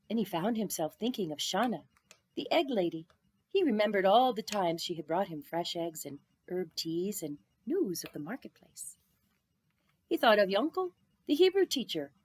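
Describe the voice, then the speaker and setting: Animated woman reading a storybook aloud. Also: medium pitch, breathy edge, dry recording. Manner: dramatic, with drawn-out words and hushed whispered phrases. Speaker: storybook reader. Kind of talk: expressive children's picture book read-aloud.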